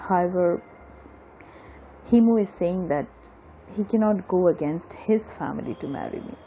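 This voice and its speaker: A woman's voice: lowish voice, animated, normal speed, vocal fry, mellow, a little hiss or hum, poor audio. A woman with an Indian Bengali accent playing a lovelorn young woman confiding in her best friend in an audio drama. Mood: troubled.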